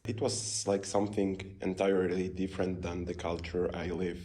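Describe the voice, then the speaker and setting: Deep, mellow young man from Poland. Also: flat delivery, moderate pace. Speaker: exchange student. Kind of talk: casual interview answers.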